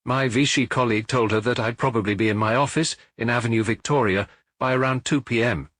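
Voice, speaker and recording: A man voicing an earnest, deferential provincial police inspector who explains things briskly in an audiobook. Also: medium pitch, a bit bright, no room sound, natural voice. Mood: businesslike.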